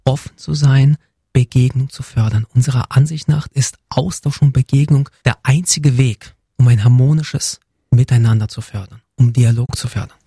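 A man's voice: mid-range voice, normal speed, dark tone, husky, dry recording, clean studio sound. A man who grew up in Germany, answering interview questions earnestly and often speaking in lists. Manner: earnest, list-like phrasing. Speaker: guest, community representative.

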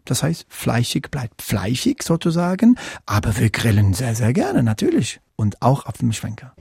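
An English man speaking German with an English accent as a non-native, answering interview questions haltingly with many fillers. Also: mid-range voice, wildly swooping pitch, gravelly, darkish tone, slightly rough, dry recording, clean studio sound. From England. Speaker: guest.

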